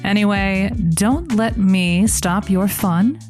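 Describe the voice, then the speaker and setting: A woman's voice: medium pitch, darkish tone, soft background music, close to an everyday voice. A woman playing a suggestive, innuendo-loving radio advice host in a comic audio drama. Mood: sultry, affectionate. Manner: slow, suggestive delivery. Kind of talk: comic radio show acting.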